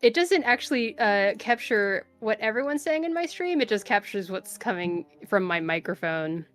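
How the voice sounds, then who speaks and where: A woman's voice: mid-range voice, sing-song, normal speed, faint music behind. A woman in her thirties chatting on a stream in a cheerful, giggly, chatty way. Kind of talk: casual stream chat.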